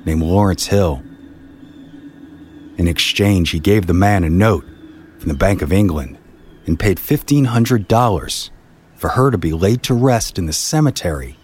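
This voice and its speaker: Very deep-voiced man narrating a story. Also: a little hiss or hum. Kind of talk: scripted documentary-style storytelling narration.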